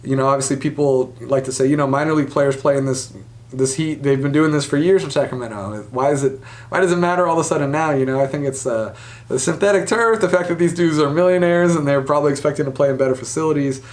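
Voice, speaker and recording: A man's voice: a little high, fast talker. A man hosting a sports show, giving casual, conversational commentary with speculative asides. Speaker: sports podcast host.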